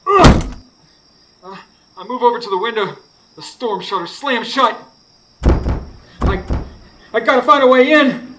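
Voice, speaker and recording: An actor playing an anxious, determined husband who narrates a ghost hunt in a folksy, urgent way in a live radio drama. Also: male voice, extremely high pitch, lively intonation, a little slow, pauses often. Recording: live stage recording, clear background noise.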